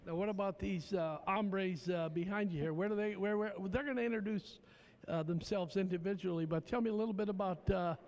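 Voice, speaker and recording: A man's voice: sits high, fairly quick, a little mellow. An older man from Pennsylvania hosting a live telethon, chatting folksily with plenty of fillers. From Tamaqua, Pennsylvania. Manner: folksy, hesitant with many "uh" fillers. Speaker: telethon host. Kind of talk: live TV interview.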